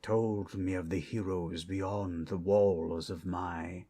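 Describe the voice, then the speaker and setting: Guy doing an old solemn dragon for asmr roleplay, low husky voice, grave and protective, long dramatic pauses. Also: steady tone, dark tone, full character voice. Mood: solemn, reflective. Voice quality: husky, breathy. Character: ancient solemn male dragon, fiercely protective hoard guardian.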